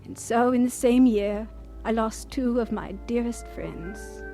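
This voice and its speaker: An actress playing a devoted missionary doctor, reflective and sincere, narrating and acting in an audio drama. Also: female voice, fairly high pitch, measured pace, creaky, dark tone, soft background music. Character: composed, devoted female missionary doctor, reflective and warm-hearted.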